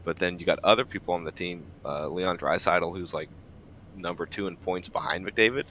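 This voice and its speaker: A man's voice: sits low, moderate pace, sharp tone, a little hiss or hum. A man co-hosting a podcast, chatting casually about sports with friends. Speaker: podcast co-host.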